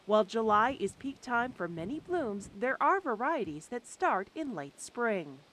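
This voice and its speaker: A woman reading scripted documentary narration in a lively, expressive way, recorded as a studio voice-over. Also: on the high side, a little slow. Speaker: program narrator. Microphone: studio voice-over mic.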